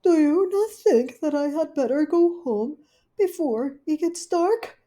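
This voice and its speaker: A woman's voice: high-pitched, expressive, measured pace, dark tone, voice pushed up. A woman voicing a worried, well-mannered female dog in a storybook, talking anxiously to herself. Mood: polite. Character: fretful proper female dog, anxious and polite.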